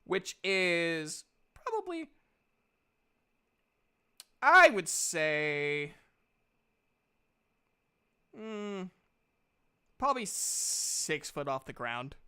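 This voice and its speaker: A woman improvising casual narration as a tabletop game master, haltingly restarting her descriptions. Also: on the low side, big pitch swings, pushed and bright, clean tone.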